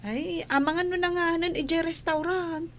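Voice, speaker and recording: A woman's voice: very high-pitched, steady tone, normal speed, slight background noise. A woman playing a blunt, grumbling household helper in a radio comedy, with an Ilocano accent. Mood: weary. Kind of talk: radio comedy drama acting.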